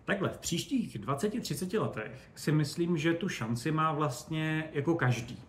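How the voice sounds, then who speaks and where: A man giving animated, colloquial, digressive explanations as an expert guest in a casual interview. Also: on the high side. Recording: roomy echo, faint background noise.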